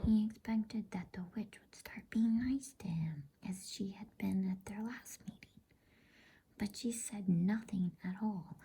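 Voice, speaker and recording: A woman reading a bedtime story as ASMR in a hushed, soothing, unhurried whisper. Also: fairly low pitch, breathy edge.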